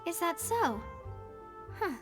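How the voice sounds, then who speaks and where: A woman's voice: very high-pitched, sing-song, slow pace, over music, exaggerated voice. A woman voicing a generous, poised young lady boss in a video game, speaking politely and theatrically. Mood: poised, puzzled.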